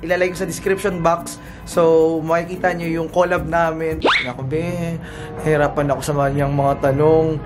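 Giggly high-voiced Filipino man vlogging. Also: little pitch movement, a little fast. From Philippines. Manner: chatty, giggly, mixes Tagalog and English. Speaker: travel vlogger. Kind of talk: casual vlog chat. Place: hotel room indoors, later street outdoors. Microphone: handheld camera at arm's length. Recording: music playing loud behind.

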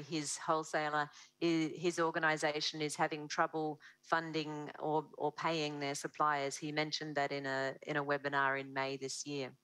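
Woman, 30s; presenting on a webinar call; very low voice, dry sound, measured delivery. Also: a bit bright, dry recording, low-quality recording. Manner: measured, explanatory, with a few self-corrections. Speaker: research presenter.

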